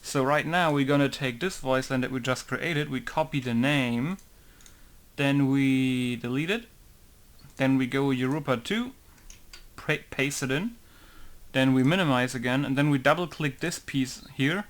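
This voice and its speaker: A man's voice: mid-range voice, a little slow. Rambling man thinking aloud in a tutorial. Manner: rambling, thinking aloud, leans on "basically" and "right?". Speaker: game modder walking through a tutorial. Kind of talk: casual screen-recorded tutorial.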